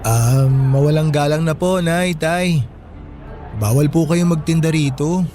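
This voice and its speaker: Man acting a polite, higher-voiced security guard. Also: a little slow, warm tone, natural voice. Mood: polite, firm. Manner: respectful, apologetic but firm. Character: polite, firm middle-aged male bank security guard. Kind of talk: radio drama acting.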